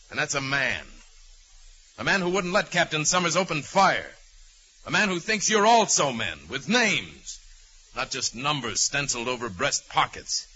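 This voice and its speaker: A bold, firm negotiator in a 1940s radio play. His bright, forceful voice moves widely and speaks deliberately. The old recording is dulled and hissy. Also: fairly high pitch, highs cut off. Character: calm, bold man negotiating with armed convicts. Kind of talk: 1940s radio drama acting.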